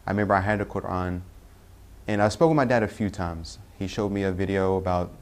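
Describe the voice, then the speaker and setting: Low-voiced man telling his story. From Tennessee, US Southern. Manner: halting, self-correcting with many "uh" pauses. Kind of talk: personal testimony.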